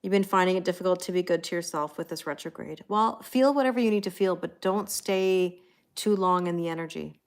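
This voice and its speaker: A woman's voice: lowish voice, fairly quick. A middle-aged woman hosting a tarot livestream, chatting casually and thanking viewers by name.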